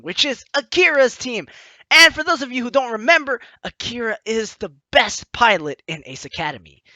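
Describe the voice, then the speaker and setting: A woman hosting a let's play, chatty and excitable, reading game narration aloud with drama and commenting as she goes. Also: medium pitch, no echo. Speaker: gaming video host. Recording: game music behind the voice. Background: game music.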